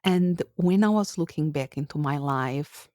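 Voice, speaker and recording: A woman's voice: low-pitched, darkish tone, dry recording, studio quality. A woman in her fifties from Portugal with a Portuguese accent, hosting a reflective solo podcast with a thoughtful manner. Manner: thoughtful, unhurried, with frequent uh fillers. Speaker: podcast host.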